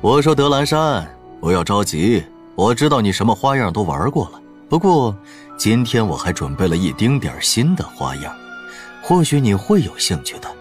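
A man voicing a jovial, smooth count in an audiobook, teasing and theatrical. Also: on the low side, very animated, moderate pace, faint music behind, voice pushed up. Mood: placating, sly. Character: jovial, smooth male count hosting a decadent party. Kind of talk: audiobook character dialogue.

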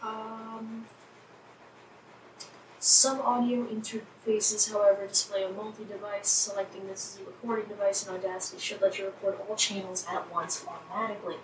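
A woman's voice: medium pitch, level tone, normal speed. A woman chatting casually while playing a video game, rambling and frank with some mild swearing. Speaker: gamer recording a let's play. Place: indoor room at home beside a TV. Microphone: shared microphone set between two players. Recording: distant mic, echoey room, loud noise.

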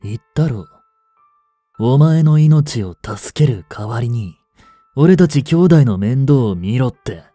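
A man's voice: medium pitch, expressive, slow, dark tone, dry recording, faint music behind. An actor playing a blunt, bossy vampire older brother in a Japanese romance voice drama, scolding as he holds back his hunger. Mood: irritated, scolding. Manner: blunt, rough-spoken, bossy.

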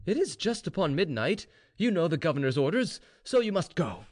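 A man puts on a goading tavern keeper from a storybook tale, with a high voice that leaps up and down. The delivery is exclamatory and taunting, egging the listener on with mockery. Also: sing-song, normal speed. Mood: businesslike. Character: mocking, goading tavern keeper plying a drunkard.

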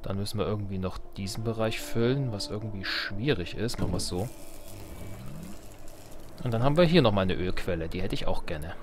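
A man's voice: on the low side, lively intonation, fairly quick, noisy background. A man gives casual let's play commentary, thinking aloud in a colloquial way with playful asides. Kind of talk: casual game commentary while playing.

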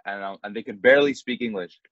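A young man from Canada, a guest on a video call, telling stories in a relaxed, chatty way. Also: on the low side, quick pace, dry recording. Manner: relaxed and chatty, acts out quoted exchanges. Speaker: guest, content creator. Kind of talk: casual storytelling in an interview. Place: indoors, small plain room. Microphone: earbuds on a video call. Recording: dull, dry video call audio.

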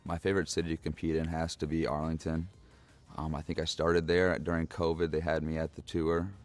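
A man's voice: extremely low pitch, level tone, a little fast, darkish tone, faint music behind. A young man, a monster truck driver, recounting plainly and matter-of-factly in an interview. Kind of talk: interview answer.

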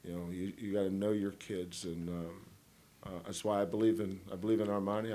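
A man's voice: low voice, mellow. A man, a head basketball coach, answering questions at a postgame press conference in a folksy, rambling way, full of homespun analogies and teasing.

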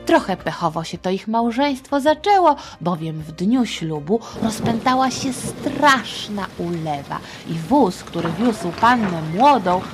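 Slow-paced, playful woman storyteller. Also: medium pitch, music in the background. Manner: playful, colloquial, expressive. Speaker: children's history podcast host. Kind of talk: informal scripted storytelling for children.

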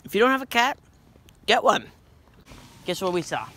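A man's voice: high-pitched, very animated, moderate pace, pushed and bright. Goofy teen boy rambling outdoors. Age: teens. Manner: joking, rambling, playful. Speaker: vlogger. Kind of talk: goofy walking vlog. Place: outdoors on a quiet street. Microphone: phone at arm's length.

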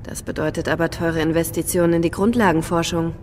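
An actress in a radio drama playing a thoughtful, cautious woman with a measured way of talking. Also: lowish voice, fairly even intonation, darkish tone, a little hiss or hum, natural voice. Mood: thoughtful, calm. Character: thoughtful, cautious woman company owner in a science-fiction future. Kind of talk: German radio drama acting. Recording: studio radio drama with faint music.

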